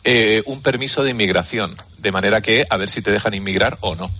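A man giving his opinions as a radio talk guest, stumbling over fillers and restarts. His voice is low but sharp and forceful. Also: brisk. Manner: hesitant starts, many fillers, animated asides. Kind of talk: opinionated discussion.

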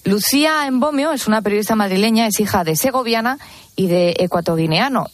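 A woman hosting a radio discussion, measured and reading out figures. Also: mid-range voice, animated, no room sound. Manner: measured, reads figures and data. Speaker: radio talk show host.

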